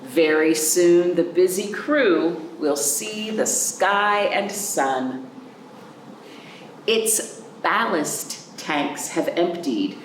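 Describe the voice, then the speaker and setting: A woman hosting a children's storytime, reading aloud and chatting in a sing-song, animated way, addressing young listeners directly. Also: on the low side. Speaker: library storytime host. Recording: roomy, distant mic, faint background noise.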